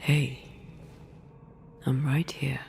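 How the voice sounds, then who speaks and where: A woman playing a tender, resolute ghostly lover in a video game cutscene, hushed and breathy, close to a whisper. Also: extremely low pitch, level tone, extremely slow, slight background noise, exaggerated voice. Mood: tender, resigned. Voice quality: breathy, creaky near-whisper.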